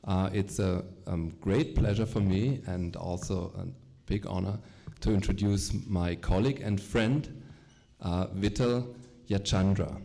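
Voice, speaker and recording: A middle-aged man introducing a guest speaker at a public lecture, hesitant, with many fillers and halting restarts. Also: sits low, warm tone. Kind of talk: formal introduction.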